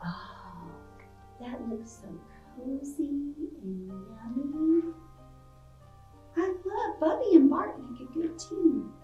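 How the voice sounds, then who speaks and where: A woman reading a picture book aloud to children, expressive and playful, with chatty asides and questions to her young listeners. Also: sits high, slow pace, echoey room, far from the mic, lo-fi sound, faint music behind. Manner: expressive, playful, acting out sound effects. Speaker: library storytime reader.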